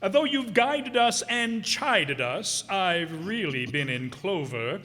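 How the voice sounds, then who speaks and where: Man as a pompous, swooping, high-voiced principal. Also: sing-song, slow pace, bright, forceful tone, heavily acted. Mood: declaiming. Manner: pompous, formal, hemming and throat-clearing. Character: pompous, stuffy older male school principal. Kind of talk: old-time radio comedy acting. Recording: echoey hall, long reverb.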